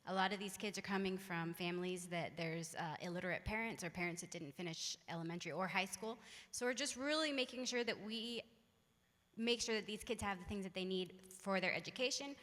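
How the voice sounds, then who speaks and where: An American woman speaks with a very bright, clear-cut tone in a reverberant hall. Her pitch is average. She explains things conversationally, as a guest missionary would from a stage. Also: fairly quick. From California, United States.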